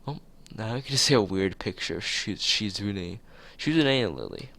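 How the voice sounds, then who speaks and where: A man's voice: fairly low pitch, animated, normal speed, fairly bright tone. A man streaming a visual novel, reading the text aloud and making casual asides.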